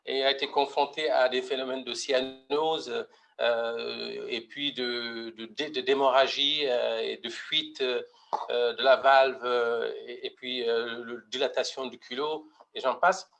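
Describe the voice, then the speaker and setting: A man's voice: medium pitch, steady tone, slow pace, gravelly, fairly bright tone. A middle-aged man from Mauritania giving a medical webinar lecture, hesitant, with many fillers and restarts. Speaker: pediatric heart surgeon presenting.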